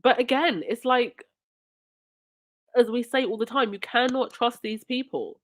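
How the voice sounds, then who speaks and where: A woman's voice: fairly high pitch, unhurried. A woman talks on her live stream while fighting a cold, her nose stuffy. She reads comments and thanks supporters, then turns indignant. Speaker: livestream host.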